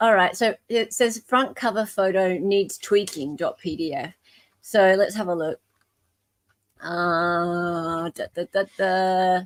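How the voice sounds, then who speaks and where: A woman's voice: lowish voice, slow, no echo. An Australian woman hosting casual live commentary, informal and thinking aloud as she goes. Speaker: host leading a live group read-through of emails.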